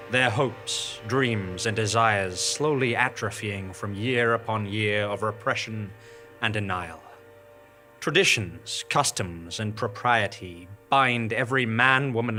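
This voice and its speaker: A man playing a solemn, wry storyteller, narrating a comedy radio play in a measured, portentous way. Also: mid-range voice, pushed and bright. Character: solemn, wry male storyteller of a bleak tale. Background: music.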